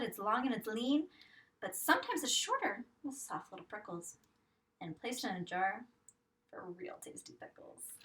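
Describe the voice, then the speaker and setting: Lady teacher doing a kids read-aloud, kinda high sing-song voice, bit far from the mic. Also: slight background noise. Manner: expressive sing-song reading, addressing children. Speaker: teacher reading aloud to young pupils. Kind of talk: picture-book read-aloud with guessing questions.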